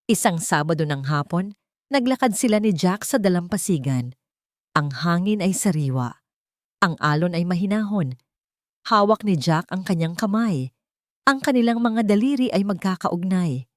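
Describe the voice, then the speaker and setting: Female storyteller, lowish pitch, dry close recording; scripted romantic drama narration in measured, deliberate phrases. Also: lively intonation, dry recording.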